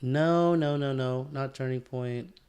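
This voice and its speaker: A man's voice: medium pitch, slow pace, a little mellow, clear, resonant tone. A man chatting casually as a podcast co-host, using bro-ish slang and swearing.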